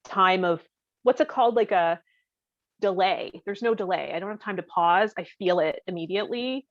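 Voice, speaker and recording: Female podcast co-host, casual joking banter, mid pitch, dry and slightly muffled lo-fi sound. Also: moderate pace, no echo. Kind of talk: casual comedic podcast chat. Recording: dull, boxy, dry lo-fi audio.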